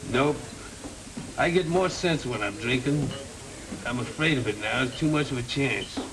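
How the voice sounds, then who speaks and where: An actor playing a shifty, slangy small-time crook in 1930s film style, with an American accent. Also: male voice, mid-range voice, moderate pace, noisy background, natural voice. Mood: drunk, weary. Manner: slangy tough-guy talk. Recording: old 1930s film soundtrack, background murmur.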